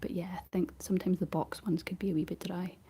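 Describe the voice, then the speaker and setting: A Scottish woman whispering a rambling, chatty ASMR talk in a breathy voice, laughing often as she goes. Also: fairly low pitch, flat delivery, fast, warm tone, slight background noise. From Scotland. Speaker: ASMR creator.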